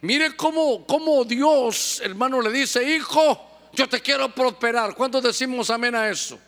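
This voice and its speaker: High-voiced male preacher, lively and joking, acts out little scenes. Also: measured pace. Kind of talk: sermon.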